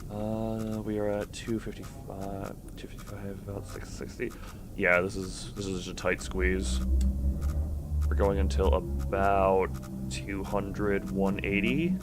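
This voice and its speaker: A man's voice: low-pitched, flat delivery, a little slow, noisy background. A man hosting a game livestream, chatting casually and rambling as he thinks aloud while playing. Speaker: game streamer, host. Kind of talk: casual livestream chat with gameplay commentary.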